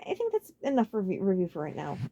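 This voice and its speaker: A woman voicing a sweet, gently cautious young woman in an audiobook story. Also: mid-range voice, wildly swooping pitch, fast talker, highs cut off. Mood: uneasy.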